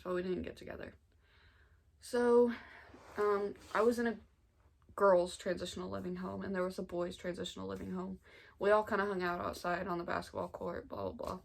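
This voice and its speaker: American girl age 19ish, creaky fry voice, medium pitch, casual confessional vlog talk, kinda halting and sincere. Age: 19, nearly 20. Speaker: family vlogger.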